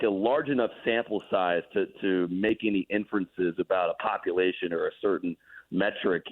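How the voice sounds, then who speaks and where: A man with a fairly low voice talks hockey in an easygoing, explanatory way. He comes through a thin, phone-like line. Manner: relaxed, explanatory, self-deprecating. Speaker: hockey play-by-play broadcaster, guest. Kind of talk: casual radio interview analysis.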